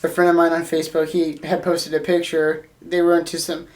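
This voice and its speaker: Woman on a podcast, voice a bit low, just casually talking. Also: flat delivery, brisk, darkish tone. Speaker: wrestling podcast co-host. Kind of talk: casual chat.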